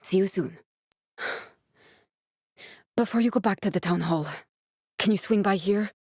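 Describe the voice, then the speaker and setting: Young woman scientist survivor, video game dialogue, earnest, guarded, serious delivery, mid-range pitch, dry studio-like sound. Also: slow, a little mellow, no room sound. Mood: serious, focused.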